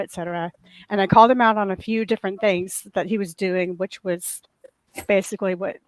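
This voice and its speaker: A woman on a casual panel chat with a soft voice that turns crackly and raw, telling a long, earnest, indignant story. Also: mid-range voice, no echo.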